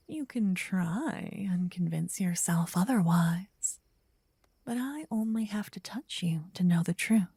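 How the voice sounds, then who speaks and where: A woman playing a gentle, possessive, lovestruck vampire in a soft, intimate, breathy ASMR whisper, close to the ear. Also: lowish voice, lively intonation, no room sound, exaggerated voice. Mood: teasing, possessive. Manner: intimate, slow, teasing. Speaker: ASMR roleplay performer. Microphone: close binaural ear-to-ear microphone.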